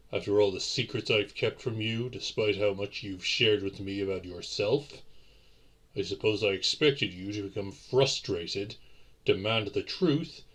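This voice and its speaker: A centuries-old vampire gentleman, acted by a man for a romantic audio roleplay, speaks in a very low, husky voice. He is courtly and brooding, addressing his lover slowly, with formal, old-fashioned phrasing. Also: exaggerated voice. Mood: courtly, tender.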